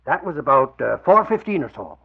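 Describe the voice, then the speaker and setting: An actor playing a folksy, excitable male reporter who talks in colloquial slang, in 1940s radio drama style. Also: fairly high pitch, brisk, vocal fry, husky, heavily acted. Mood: eager reporting. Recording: dull old radio recording.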